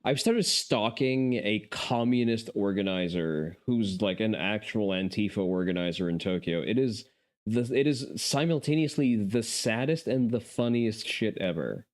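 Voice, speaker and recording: A man's voice: fairly low pitch, moderate pace, slight background noise. A man hosting a casual chat stream and reacting to videos, with sarcastic, profane ranting.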